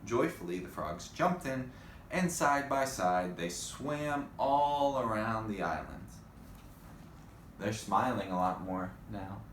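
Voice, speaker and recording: Slow, expressive man reading to children, echoey. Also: lowish voice. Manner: expressive, addressing young listeners. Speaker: storybook reader. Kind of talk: children's read-aloud with chatty asides. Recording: echoey room, faint background noise.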